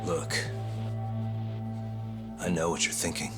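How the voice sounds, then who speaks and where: Low-pitched male detective type, game drama, needling yet earnest, clear music bed. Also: flat delivery, takes it slowly. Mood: accusing, sure. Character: dogged male investigator, calm, cocky and accusing. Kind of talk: dramatic video game cutscene acting. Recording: music behind the voice.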